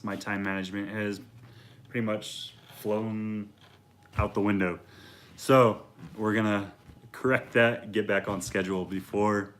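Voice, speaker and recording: Casting note: deep-voiced man in his thirties, easygoing vlogger, rambles through channel updates. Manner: relaxed and rambling, many fillers. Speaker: reptile vlogger. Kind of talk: casual talk to camera.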